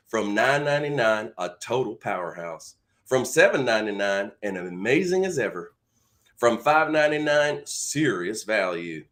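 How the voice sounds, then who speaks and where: A man's voice: lowish voice, fairly bright tone. Man putting on a Southern ad announcer. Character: male Southern-accented announcer in a smartphone commercial. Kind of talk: commercial voice-over read.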